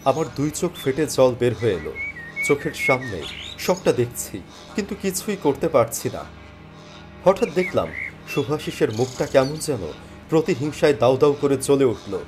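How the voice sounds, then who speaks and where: A man's voice: medium pitch, very animated, normal speed. A man giving dramatic, scripted first-person narration of a story. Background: background music.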